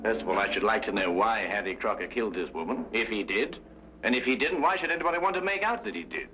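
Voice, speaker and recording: An actor playing a cool, inquisitive gentleman detective, musing and courteous, in an old-time mystery drama. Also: fairly low pitch, animated, fast talker, slight background noise, lightly acted. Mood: intrigued. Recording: dull old radio recording.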